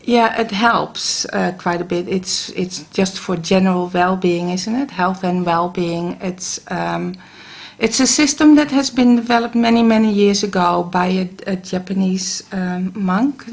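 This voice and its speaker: Slow, hesitant Dutch-accented woman. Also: mid-range voice, gravelly. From Netherlands. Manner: hesitant, many ums and restarts. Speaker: guest, Reiki practitioner. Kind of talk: casual radio interview. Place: radio studio.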